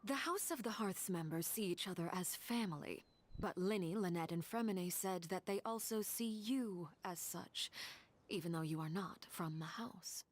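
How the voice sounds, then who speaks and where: A woman playing a composed, commanding leader of a family of orphans in a video game, speaking formally and with measured, precise words. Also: on the low side, a little hiss or hum, natural voice. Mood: earnest, composed. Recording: music behind the voice.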